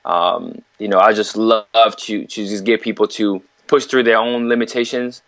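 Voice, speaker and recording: A man's voice: lowish voice, steady tone, moderate pace, fairly bright tone. A man from Africa, a fitness coach, talks easily as a podcast guest and laughs lightly. He fills his talk with "you know". The sound is dull and a bit muffled. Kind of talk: casual interview chat.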